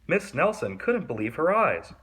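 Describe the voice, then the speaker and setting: A man reading a children's story aloud in an expressive, animated and deliberate way. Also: on the high side. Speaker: storybook reader.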